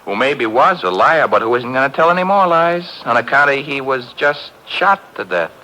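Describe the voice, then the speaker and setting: Wisecracking sidekick, bright lively voice, sardonic, old radio hiss. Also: male voice, mid-range voice, moderate pace, faint music behind, rough recording. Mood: wry. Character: wisecracking young male assistant detective. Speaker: detective's assistant. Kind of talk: 1950s radio drama acting.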